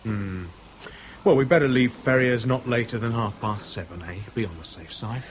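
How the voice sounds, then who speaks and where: An actor playing an obliging, apologetic car-hire manager in a radio drama, with an English accent and clipped, hesitant phrasing. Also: male voice, mid-range voice, normal speed, slight background noise, close to an everyday voice. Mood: businesslike, obliging. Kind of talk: 1970s British radio drama acting.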